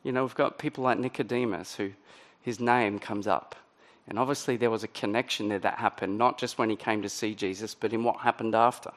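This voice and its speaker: Croaky pastor, conversational. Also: male voice, on the low side, fairly even intonation, a little fast. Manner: conversational, many ums and restarts. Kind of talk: sermon.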